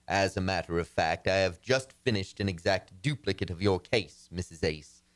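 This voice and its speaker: A smooth, self-assured astrologer acted by a man in an old-time radio comedy. He speaks in a low voice with soothing, theatrical polish, as if selling charms to a client. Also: normal speed, close to an everyday voice.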